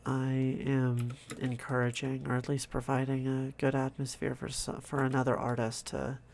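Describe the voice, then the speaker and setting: A man quietly narrating his own drawing process, in a soft-spoken, calm way, thinking out loud. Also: medium pitch, moderate pace, vocal fry, slight background noise. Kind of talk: casual relaxed commentary over drawing.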